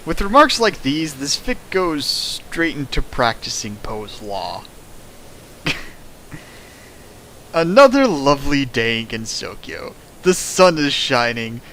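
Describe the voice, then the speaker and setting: A man's voice: mid-range voice, very animated, slow, pushed and bright, slight background noise, poor audio. A young man doing a comedic dramatic reading of a fan fiction, jokey and sarcastic, laughing between lines. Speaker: reader and riffer of a fan fiction.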